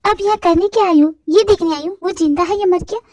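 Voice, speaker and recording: A woman voicing a sharp-tongued young woman who scolds and accuses. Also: very high voice, quick pace, no room sound. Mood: scolding.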